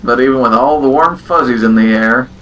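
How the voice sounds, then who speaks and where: A man's voice: mid-range voice, brisk, pushed and bright, poor audio. Man narrating, faint background noise. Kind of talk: scripted story narration.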